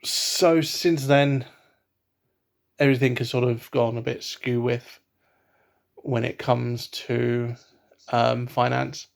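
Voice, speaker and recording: Gravelly, hesitant man talking flatly. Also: mid-range voice, takes it slowly, long pauses. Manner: hesitant, many ums and restarts. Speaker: vlogger. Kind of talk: casual unscripted vlog. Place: at home, indoors. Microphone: laptop webcam.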